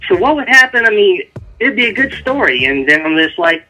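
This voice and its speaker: A man with a very bright, forceful voice that sits a little high. He talks as an author being interviewed on a casual radio show, explaining things in a loose, rambling way. Faint music plays behind him. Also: big pitch swings, fairly quick, dull audio. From Dallas, Texas, United States.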